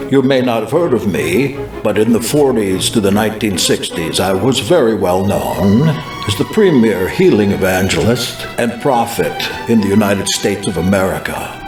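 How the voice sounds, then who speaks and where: A man playing a fervent American healing evangelist, solemnly and deliberately recounting a prophetic vision with dramatic emphasis. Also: on the low side, expressive, natural voice. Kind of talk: dramatized first-person testimony, scripted narration. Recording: roomy echo with music underneath.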